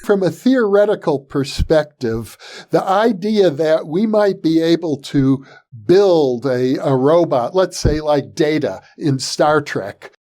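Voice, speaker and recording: Slow, measured male interviewer. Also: a little high, lively intonation, dark tone. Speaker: host. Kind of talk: interview host questions.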